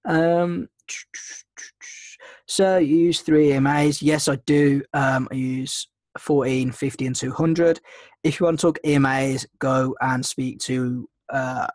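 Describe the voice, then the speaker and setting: A man's voice: mid-range voice, steady tone, slow, no room sound. A man with a slight cold, casually teaching a trading webinar, rambling with lots of ums and restarts. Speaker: trading mentor hosting a webinar. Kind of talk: casual screen-share teaching.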